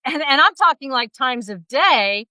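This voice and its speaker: A woman in her fifties hosting a casual interview over a video call, energetic and laughing often. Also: high-pitched, measured pace, dry recording. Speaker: podcast host. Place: bright room at home, indoors. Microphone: video call, laptop mic.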